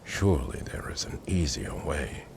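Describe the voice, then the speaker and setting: A man's voice: extremely low pitch, sing-song, moderate pace, gravelly, background noise, exaggerated voice. A man voicing a loyal, devout army general in a video game, formal and deferential. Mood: earnest, worried. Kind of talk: video game cutscene acting.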